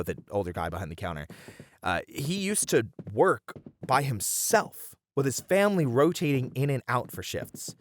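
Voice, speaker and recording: A man's voice: mid-range voice, a little hiss or hum, lightly acted. A man playing a friendly young bunny boy, a chatty and welcoming manga cafe regular, in a casual ASMR roleplay. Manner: chatty, easygoing, welcoming, with casual slangy hesitations.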